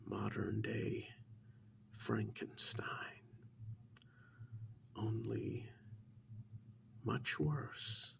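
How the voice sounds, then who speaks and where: A very slow, heavily creaky male voice slips in and out of a whisper, a man acting out a sly, menacing interrogator in an ASMR role-play. He leaves long, insinuating pauses and needles his listener with mock admiration. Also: on the low side, heavily acted. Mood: insinuating, ominous. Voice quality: breathy whisper mixed with gravelly creak.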